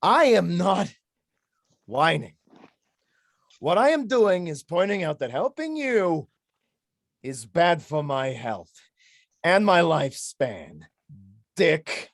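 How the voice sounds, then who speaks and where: An actor playing a put-upon, indignant sidekick in a comic noir stage play, complaining emphatically and sarcastically. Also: male voice, fairly high pitch, very animated, takes it slowly, long pauses, no echo, studio quality, full character voice. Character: exasperated, put-upon male sidekick of a detective.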